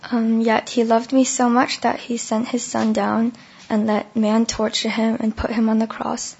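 A young adult woman with a hoarse, worn voice, giving her testimony at church in short chunks. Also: fairly high pitch, level tone, normal speed, a little mellow, dull audio. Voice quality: gravelly, husky. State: hoarse, lost voice. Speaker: baptism candidate giving testimony.

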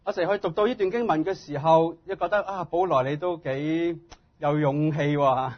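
A male preacher with a fairly high voice gives a sermon in short, separate phrases. His delivery is humble and a little halting, and the recording sounds dull and lo-fi. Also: steady tone. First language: Cantonese. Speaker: guest preacher.

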